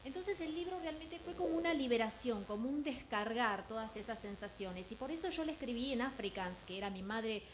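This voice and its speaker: A woman's voice: a little high, lively intonation, brisk, few pauses, slight background noise. Woman interpreter in an echoey hall. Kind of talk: consecutive interpreting.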